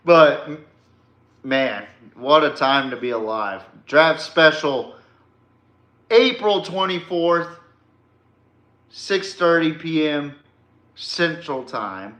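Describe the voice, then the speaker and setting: A man's voice: on the high side, sing-song, takes it slowly, long pauses, bright, forceful tone, echoey room. A man hosting a casual solo podcast, talking bluntly and with casual swearing into a podcast microphone. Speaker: podcast host.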